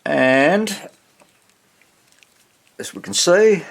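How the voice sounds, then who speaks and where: Male hobbyist presenter for a workbench repair video: unhurried, lively rise and fall in pitch, talking haltingly to himself while working. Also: gravelly. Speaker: hobbyist radio restorer, presenter. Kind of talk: casual hands-on repair narration, thinking aloud.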